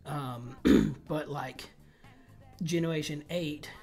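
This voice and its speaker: A man's voice: fairly high pitch, takes it slowly, vocal fry, a little mellow. The man you'd hear on a gaming channel's theory video, rambling casually through his guesses with a slight speech impediment while background tracks play along. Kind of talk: casual video commentary, speculating. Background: background music.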